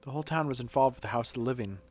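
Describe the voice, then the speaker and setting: A man reading game dialogue as an earnest, dutiful woman who runs a shelter, in a measured, formal, reflective manner. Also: medium pitch, natural voice. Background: music.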